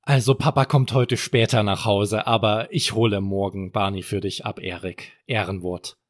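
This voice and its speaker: A man's voice: lowish voice, fairly even intonation, normal speed, natural voice. A man voicing a caring, busy mother in a children's story, polite and reassuring.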